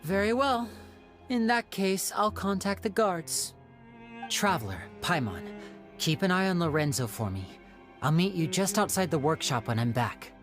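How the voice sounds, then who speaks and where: An actor playing a composed young male stage magician in a video game, with a measured, caring older-brother manner. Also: high-pitched, big pitch swings, a bit bright, faint music behind. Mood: cool, firm. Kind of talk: English video game voice acting.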